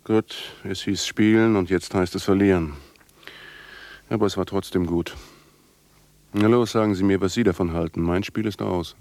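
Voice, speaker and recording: A deep-voiced, brooding doomed outcast. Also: male voice, steady tone, moderate pace, pauses often, darkish tone, no echo. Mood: bitter, resigned. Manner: incantatory, brooding, poetic delivery. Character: haunted, defiant male outcast and murderer, brooding and evasive. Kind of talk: literary radio drama monologue.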